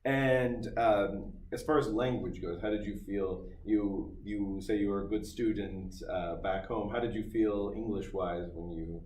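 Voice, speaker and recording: A man's voice: on the low side, steady tone, unhurried, hardly any pauses, darkish tone. Rambling man interviewer, echoey room. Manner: rambling, rephrases his questions. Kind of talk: interview questions. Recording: echoey room, distant mic, light noise.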